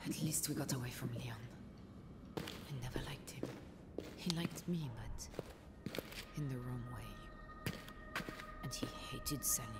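An actress voicing a frightened, tender young mother in a game, speaking in hushed, tearful, pleading tones. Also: rumbling low, a little slow, long pauses, in a whisper. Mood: whispering. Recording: roomy game audio with loud music.

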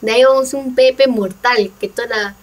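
A woman's voice: on the high side, steady tone, normal speed. Brief: young Peruvian woman gamer, playful and laughing, noisy far-off mic. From Peru. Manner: playful, teasing, laughs a lot. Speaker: gaming channel host. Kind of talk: casual gameplay chat.

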